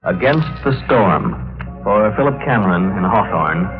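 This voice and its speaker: A man introducing a vintage radio soap opera in measured, formal announcer narration. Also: sits low, animated. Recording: tinny vintage radio, music behind.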